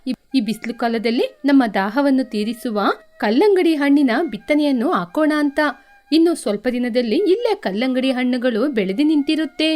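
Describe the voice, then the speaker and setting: A woman voicing a kind, patient mother sparrow in a children's cartoon, explaining things gently. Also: high-pitched, animated, a little fast. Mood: patient, welcoming.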